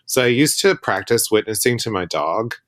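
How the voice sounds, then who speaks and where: Male podcast guest, lower voice, candid, wry storyteller. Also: lively intonation, moderate pace. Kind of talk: casual podcast chat.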